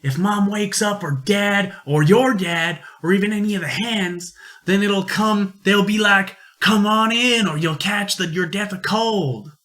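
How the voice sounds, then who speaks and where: A man's voice: sits high, unhurried, pushed and bright, voice pushed up. A man voicing an eager, secretive young farm boy with a boastful, folksy manner in an amateur radio-play reading.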